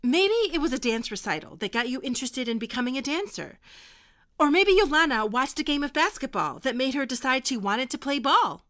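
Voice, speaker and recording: A no-nonsense high school teacher in an audio drama. Her voice is fairly high, and she speaks in a firm, instructive way to her students. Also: animated, fairly quick, a bit bright. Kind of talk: naturalistic audio drama acting.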